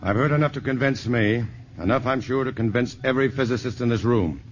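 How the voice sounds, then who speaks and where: A man's voice: medium pitch, brisk, close to an everyday voice. An actor playing an urgent, resolute male scientist in a 1950s radio drama. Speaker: physicist. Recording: old radio broadcast with hiss.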